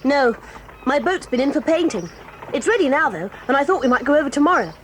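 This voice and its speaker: An actress voicing a proud, quick-tempered tomboy girl in an audio drama, talking in a brisk, bossy and eager way. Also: high voice, animated, a little hiss or hum, rough recording. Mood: excited, lively. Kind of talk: 1980s audio drama acting.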